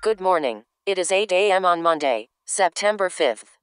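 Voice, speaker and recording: Woman as a lowish-voiced electronic assistant, noisy sound. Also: lively intonation, moderate pace, lightly acted. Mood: neutral, precise. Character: female talking electronic assistant, neutral and precise. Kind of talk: radio drama.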